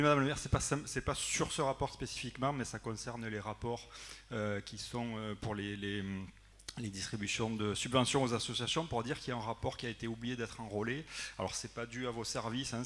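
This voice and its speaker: Halting man speaking at a council meeting. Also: mid-range voice, a bit bright. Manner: halting, many fillers and restarts. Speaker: opposition council member. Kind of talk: formal council remarks, off the cuff. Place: municipal council chamber.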